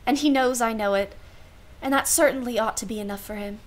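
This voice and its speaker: Woman acting wry aunt, radio drama, slightly high voice, arch, formal, dry delivery. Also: fairly quick, lightly acted. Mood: musing, exasperated. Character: wry, world-weary aunt minding her young niece. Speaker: actress.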